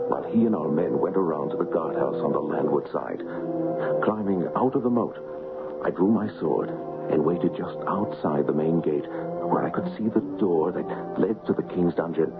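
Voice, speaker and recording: On a thin, narrow-band old radio broadcast, a brave swordsman hero with a fairly low voice. He is resolute and earnest, telling and living his adventure with dramatic weight. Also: lively intonation, moderate pace, over loud music. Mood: suspenseful narration. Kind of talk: radio drama acting with first-person narration.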